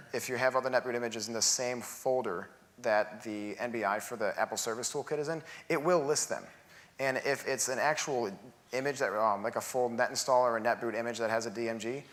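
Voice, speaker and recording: A man presenting at a conference, working through technical answers in a steady, methodical way. His voice sits at an ordinary middle pitch and comes through a stage microphone in a large theater. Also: a little fast.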